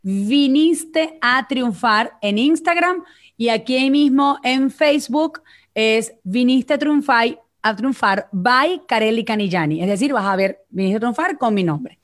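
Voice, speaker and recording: A woman vocal coach with a Venezuelan accent, explaining voice technique in a casual live chat and often checking in with "ok?". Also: a little high.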